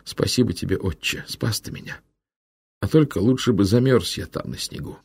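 A man's voice: fairly low pitch, animated, normal speed, creaky, a little mellow, husky, exaggerated voice. A man voicing an injured young prince who speaks weakly while sobbing. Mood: despairing. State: weak, sobbing. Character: injured, grieving young prince, weak and tearful.

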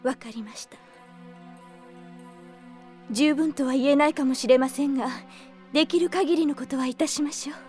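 An actress playing a compassionate young noblewoman, soft, polite and formal, in a radio drama. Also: high-pitched, moderate pace, long pauses, soft background music, close to an everyday voice. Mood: gracious. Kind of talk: Japanese radio drama acting.

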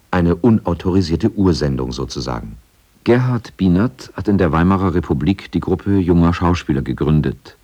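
A male documentary narrator reads a scripted radio feature in a low, warm voice. His is measured and factual, with a relaxed, dark timbre. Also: slightly rough.

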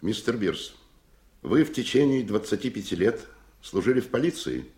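Echoey, persistent defense lawyer. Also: male voice, fairly low pitch, very animated, normal speed, darkish tone. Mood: challenging. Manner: formal, leading questions. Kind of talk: radio play acting. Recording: echoey room.

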